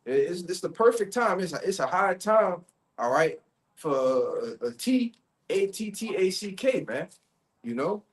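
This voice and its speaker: A man's voice: sits high, big pitch swings, a little slow. A man preaching informally on the street, expounding scripture and often checking in with tags like "all right?" and "you see?".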